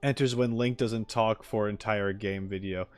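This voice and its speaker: A man's voice: fairly low pitch, fairly even intonation, moderate pace, darkish tone, no echo. A man chatting casually on a livestream as he reacts to game clips, opinionated and jokey, speaking into a close microphone.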